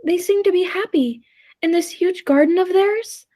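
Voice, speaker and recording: A woman playing a gentle, contented time traveler who tells the tale in a measured, wistful way, in 1940s radio play style. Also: very high voice. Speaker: amateur drama club actor. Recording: roomy echo with faint music.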